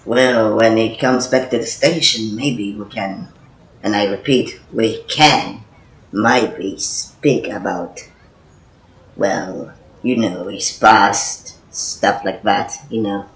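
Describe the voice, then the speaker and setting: A man's voice: fairly low pitch, slow, a bit bright. Man acting blunt, rambling guy in echoey room. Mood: musing. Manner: rambling, hesitant, sweary. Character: blunt, foul-mouthed male squad member named Johnson. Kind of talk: amateur audio drama acting.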